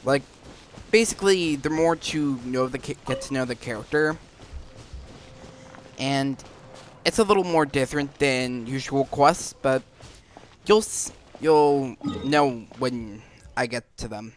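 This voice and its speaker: A man's voice: mid-range voice, a little slow, pauses often, slight background noise. A man giving casual, rambling gameplay commentary with a hesitant delivery. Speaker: gaming video narrator. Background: game music.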